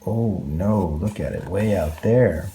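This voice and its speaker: A man's voice: low voice, takes it slowly, warm tone, slight background noise. A man reading a picture book aloud to young children, expressively, with exclamations and questions to his listeners. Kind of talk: read-aloud picture book narration with asides.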